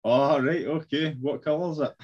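A man's voice: fairly high pitch, steady tone, normal speed, a little mellow, muffled recording. A man with a Glaswegian Scottish accent, casually hosting an interview. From Scotland. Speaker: host.

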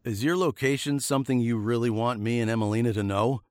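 A man playing a weary, wry nineteenth-century fugitive, telling his tale in a deliberate, literary way for a radio drama. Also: medium pitch, no room sound, studio quality, natural voice. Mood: wary. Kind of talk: radio drama narration and acting.

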